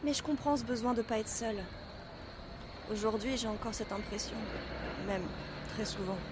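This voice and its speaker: A woman voicing a gentle, mysterious schoolgirl ghost in a French audio drama, speaking softly and evasively. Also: a little high, normal speed, very noisy, close to an everyday voice.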